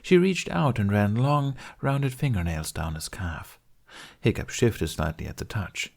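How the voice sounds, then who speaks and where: A man's voice: fairly low pitch, lively intonation, measured pace, vocal fry. A man reading scripted fiction as an audiobook narrator.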